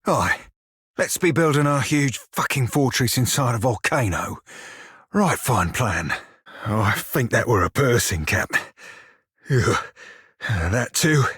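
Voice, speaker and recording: Game role: roguish furry sailor, husky growl, bawdy and sardonic, mid pitch, close dry sound. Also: male voice, expressive, slow, pauses often, fairly bright tone, no room sound, exaggerated voice. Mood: wary, sarcastic. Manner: salty, colloquial pirate talk, wry and crude. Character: roguish, bawdy, sardonic blue-furred pirate sailor creature. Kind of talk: video game character dialogue and barks.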